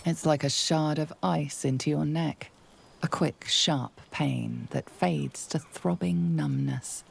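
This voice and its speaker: A woman playing a grave, mysterious storyteller, narrating a dark fantasy game in a measured, hushed, ominous way. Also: deep voice, creaky, breathy edge, exaggerated voice. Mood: hushed, intense. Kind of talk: scripted second-person game narration.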